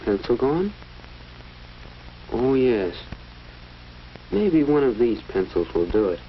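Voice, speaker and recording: An actor voicing a brooding teenage schoolboy's thoughts in a 1940s educational film, musing to himself with self-mockery. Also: male voice, medium pitch, big pitch swings, slow. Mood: musing, wry. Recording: muffled, noisy old 1940s film soundtrack.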